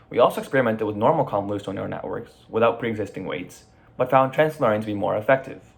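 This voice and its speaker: A man's voice: lowish voice, vocal fry. A teenage boy giving a prepared student project presentation, reading from a script in a room at home over a laptop mic.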